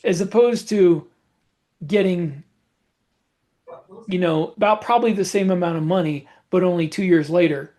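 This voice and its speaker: A man's voice: high-pitched, normal speed, long pauses, mellow. A man chatting casually as a podcast host, giving advice in a matter-of-fact way. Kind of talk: casual advice chat about book publishing.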